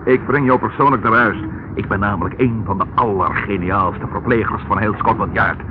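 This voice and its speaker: An actor playing a good-humoured, inquisitive Scotland Yard detective and loyal colleague in a Dutch radio play, talking casually. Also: male voice, on the low side, moderate pace, natural voice. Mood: joking. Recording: dull old radio recording, faint noise.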